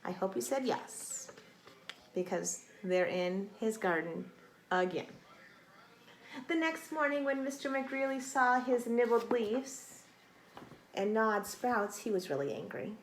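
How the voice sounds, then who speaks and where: A woman in her thirties or forties reads a children's storybook expressively, with deliberate dramatic emphasis, speaking directly to young listeners. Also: fairly high pitch. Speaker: teacher reading a picture book aloud to children. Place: small indoor room. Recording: roomy echo, faint noise.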